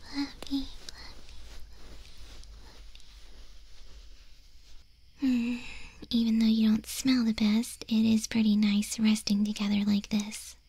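A young wolf girl, acted by a woman, whispers in a cozy ASMR roleplay. Her voice is slightly high and very breathy, staying soft and level. She comes across as proud of her fluffy fur, caring and gently teasing. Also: level tone, takes it slowly, long pauses, dry recording, exaggerated voice. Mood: soothing, hushed, then sleepy, affectionate.

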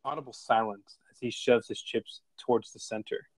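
Male narrator for screenplay action lines, medium pitch, measured, plain delivery, dry close sound. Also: steady tone, dry recording. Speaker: stage directions reader. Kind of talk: scripted narration.

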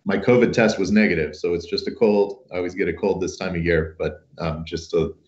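A middle-aged man with a very deep voice, scratchy from a cold, answers interview questions from home. He talks in a relaxed, modest way with many fillers. The audio is roomy and muffled, like a video call. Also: brisk. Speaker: guest professor. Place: home room.

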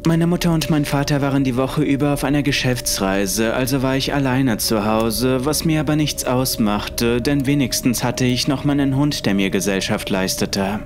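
A man's voice: medium pitch, moderate pace, hardly any pauses. A man narrating a scripted first-person horror story. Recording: music under the voice. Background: music.

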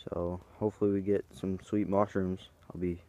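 Guy rambling thru his farm tour outside, low voice, kinda dark tone, phone mic. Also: little pitch movement, moderate pace, a little hiss or hum. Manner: rambling, hesitant, unsure of plans. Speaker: farm vlogger. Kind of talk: casual walkaround tour. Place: outdoors on a farm yard and woods.

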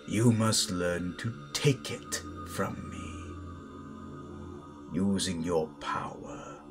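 A stern, menacing Sith master, acted by a man, speaks in a low voice and very slowly, with grandiose weighted pauses. It is a dramatic audio roleplay, with music clearly playing underneath. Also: full character voice. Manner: deliberate, grandiose, pausing for weight. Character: stern, menacing male Sith master teaching his apprentice. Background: loud background music.

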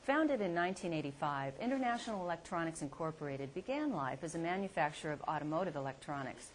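Lady reporter, lowish voice, reading a scripted promo product story. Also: lively intonation, fast talker, a little hiss or hum.

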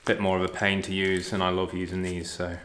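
A man's voice: sits low, completely flat delivery, fast, fairly bright tone. A man in his thirties with an English accent, giving a casual, laid-back hands-on DIY plumbing tutorial. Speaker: DIY renovator and plumber demonstrating pipework. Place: indoors, empty room under renovation. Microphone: handheld or propped camera at close range. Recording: echoey bare room, some noise.

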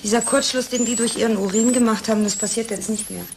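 An actress playing a curious, matter-of-fact lab assistant in conversational radio drama. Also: female voice, on the high side, steady tone, fast talker, a little hiss or hum, natural voice.

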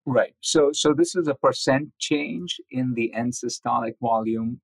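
A man giving measured, methodical explanations as an interviewed medical researcher. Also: medium pitch, slightly rough, dry recording, rough recording.

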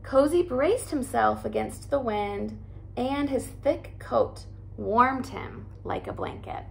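A woman in her thirties, a teacher, reading a picture book aloud in a soft, cozy way in a living room by a fireplace. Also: fairly high pitch, big pitch swings, takes it slowly, gravelly, slight background noise, rough recording.

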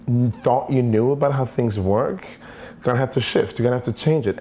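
A man's voice: mid-range voice, a little mellow. Thoughtful man from Sweden, office interview. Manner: thoughtful, repeats words while forming ideas. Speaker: interview guest. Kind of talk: conversational interview answers. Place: office with large windows.

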